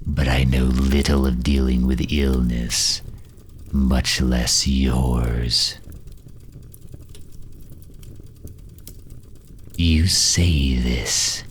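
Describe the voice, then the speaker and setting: A man playing a grave, haughty dark knight who absorbs souls, speaking formally and deliberately with a deep, breathy, husky voice in an audio roleplay. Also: full character voice. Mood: weary, resigned. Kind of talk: slow dramatic audio roleplay monologue.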